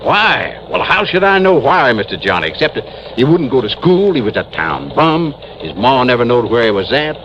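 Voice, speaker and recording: An actor playing a grumbling, insistent small-town police chief in an old radio drama. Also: male voice, mid-range voice, sing-song, moderate pace, lightly acted. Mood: indignant, bitter. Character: folksy, grudge-bearing small-town male police chief. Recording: dull, hissy vintage radio recording.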